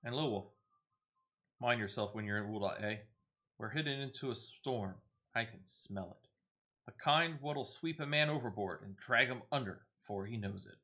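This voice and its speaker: A man reading game dialogue as a gruff, blunt seafaring veteran who curses and talks in folksy turns. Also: mid-range voice, normal speed, bright, forceful tone. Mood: bitter, resolute. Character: gruff, salty male seafaring veteran commander.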